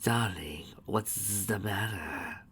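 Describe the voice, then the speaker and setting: A man voicing a cold, scheming changeling queen mother who speaks in a soft, hushed whisper. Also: fairly low pitch, animated, a little slow, vocal fry, slightly rough, exaggerated voice. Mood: cooing.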